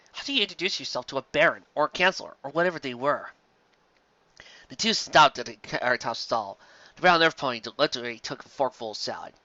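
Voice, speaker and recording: A man's voice: medium pitch, pushed and bright, no echo. A man narrating a scripted fan fiction story as an audiobook, on a dull lo-fi recording.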